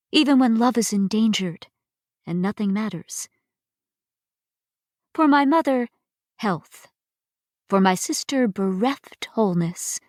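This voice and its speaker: Female poetry reader: mid-pitched, very measured, deliberate, expressive delivery. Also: no echo, clean studio sound. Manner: deliberate, expressive, pausing between phrases. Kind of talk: dramatic poetry recitation.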